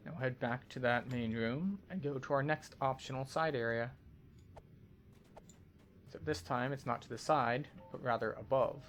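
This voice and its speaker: A man's voice: mid-range voice, normal speed. A man giving matter-of-fact walkthrough commentary as a game tour guide, explaining things as he goes. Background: game music.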